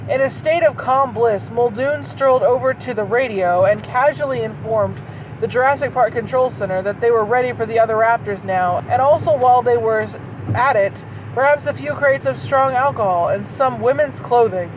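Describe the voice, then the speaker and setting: A woman's voice: fairly high pitch, moderate pace. A woman reading fan fiction aloud in a dramatic way, breaking into incredulous, sweary casual asides. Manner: incredulous, sweary commentary between read passages. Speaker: host reading and mocking bad fan fiction. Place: inside a moving car. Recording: car interior, clear road noise. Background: clear vehicle noise.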